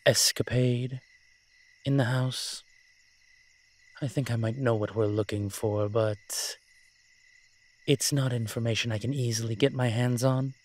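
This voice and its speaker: A man's voice: fairly low pitch, darkish tone. A man playing a roguish incubus demon in a roleplay audio drama, talking in a sly, teasing, conversational way. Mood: scheming. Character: smooth-talking roguish male incubus demon, playful and scheming.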